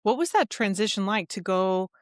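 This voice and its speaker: A woman's voice: mid-range voice, animated, moderate pace, dry recording, studio quality. An American woman hosting an interview, enthusiastic and gushing, laughing often between questions. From United States. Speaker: podcast host. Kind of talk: interview chat.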